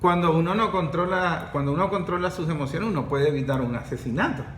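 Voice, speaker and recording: A man's voice: fairly high pitch, fast, a bit bright. A man, hosting a podcast conversation, who often cuts in to finish his guests' sentences. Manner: interjects, finishes others' sentences. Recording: echoey room with faint background noise.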